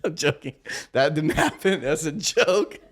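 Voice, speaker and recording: A man's voice: fairly high pitch, measured pace, a bit bright. A young man hosting a casual podcast chat, rambling with fillers and cracking off-color jokes. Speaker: podcast host.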